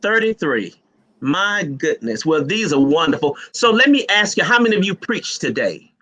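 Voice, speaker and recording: A man's voice: fairly high pitch, very animated, normal speed, sharp tone. A man moderating an online panel conversation in a familiar, teasing and encouraging way. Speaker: host and moderator. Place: online video call.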